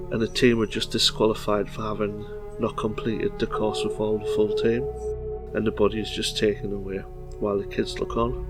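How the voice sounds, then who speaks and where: A man with a fairly low voice presents a film review over clearly audible music. His delivery is plain and informal, partly read and partly off the cuff, with halting phrasing. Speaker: vlog host. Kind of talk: film review commentary, part scripted.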